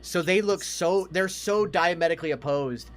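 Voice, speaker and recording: A man's voice: fairly high pitch, normal speed, a crowd in the background. An American man in his thirties, a podcast co-host chatting casually and emphatically about films, with plenty of swearing.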